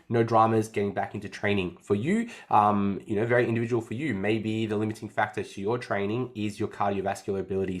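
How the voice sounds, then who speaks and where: Upbeat nasal-sounding man. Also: on the low side. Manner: upbeat, chatty, repeats phrases. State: slightly nasal after an illness. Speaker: fitness coach and podcast host. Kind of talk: casual podcast chat.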